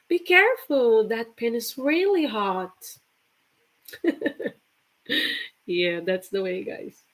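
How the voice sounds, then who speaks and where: A woman's voice: a little high, big pitch swings, very slow, pauses often, fairly bright tone. Chatty woman teaching English. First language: Portuguese. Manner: chatty, repeats example phrases with laughs. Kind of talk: live online English lesson.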